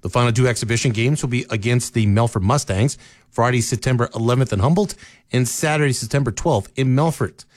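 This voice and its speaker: A man's voice: mid-range voice, a little fast, no room sound. Man reading local radio news, dry studio sound. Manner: steady, even newsreader delivery. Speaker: radio newscaster.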